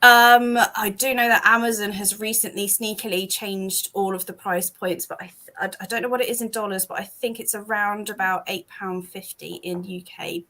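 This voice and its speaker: A British woman talking casually about her comic on a call, rambling with lots of ums and restarts, a bit hoarse from losing her voice. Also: mid-range voice, steady tone, normal speed, few pauses, bright, forceful tone. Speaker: comic creator guest. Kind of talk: casual chat on a video call.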